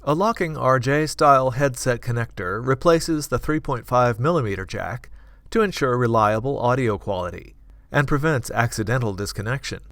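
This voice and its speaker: A man reading scripted corporate product narration in a measured, clearly enunciated manner. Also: mid-range voice, animated, fairly bright tone, no echo, studio quality. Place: dry studio voice-over recording.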